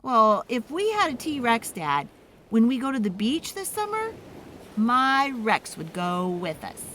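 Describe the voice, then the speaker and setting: A woman voicing an eager, pleading young child in an excitable, dramatic storybook read-aloud. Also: fairly high pitch, slight background noise. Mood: eager, boastful. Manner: wheedling, excitable, dramatic, drawn-out emphasis.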